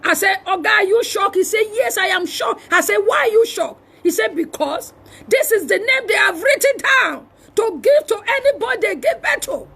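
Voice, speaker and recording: A Nigerian woman preaches in a very high-pitched voice with a gravelly creak. She delivers a fiery charismatic sermon, exhorting forcefully and repeating phrases for emphasis, often at a shout. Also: animated, moderate pace. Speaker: preacher and prophet.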